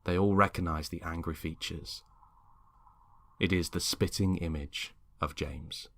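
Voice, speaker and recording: A man's voice: sits low, fairly even intonation, a little slow, gravelly, a bit bright. A man reading a scripted story aloud as a dramatic narrator. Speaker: story reader.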